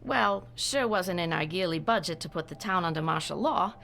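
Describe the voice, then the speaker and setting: A woman's voice: lowish voice, lively intonation, moderate pace, gravelly, a bit bright, a little hiss or hum. An actress playing a wry, tough small-town policewoman in a video game, plain-spoken and folksy. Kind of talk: video game character monologue.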